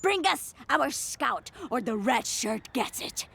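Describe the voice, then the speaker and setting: A woman playing a haughty, easily outraged alien in a comic sci-fi audio play, with theatrical, drawn-out delivery. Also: fairly high pitch, big pitch swings, vocal fry, fairly bright tone, slight background noise, full character voice. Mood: melodramatic outrage.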